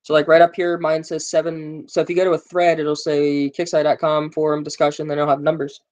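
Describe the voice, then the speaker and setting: A man's voice: on the high side, completely flat delivery, a little fast, darkish tone. A man hosting a casual gaming live stream, rambling with plenty of fillers and joking with his viewers. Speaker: gaming livestream host.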